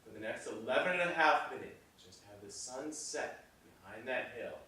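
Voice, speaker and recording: A man's voice: mid-range voice, expressive, normal speed, sharp tone. An actor playing an obsessive, stubborn male film director, speaking in an emphatic, self-important, theatrical way. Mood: pleading. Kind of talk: theatrical dramatic dialogue. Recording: very echoey room, distant microphone.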